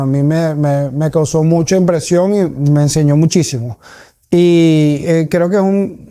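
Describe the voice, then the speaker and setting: A man's voice: a little high, mellow, clear, resonant tone, dry recording. A man with a Caracas Venezuelan accent giving reflective, conversational answers as a comedian being interviewed.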